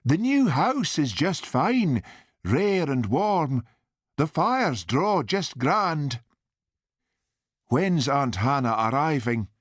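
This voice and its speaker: A man voicing a miserable, flu-ridden Highland Scottish policeman in an audiobook, stiff, self-pitying and sibilant. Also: very animated, slow, no room sound, clean studio sound, full character voice. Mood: chilly, hurt.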